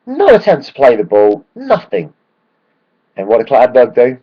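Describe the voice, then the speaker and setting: A man, hoarse from shouting at a match, ranting excitedly and swearing freely in a casual football vlog. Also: medium pitch, moderate pace, lo-fi sound. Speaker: football fan vlogger.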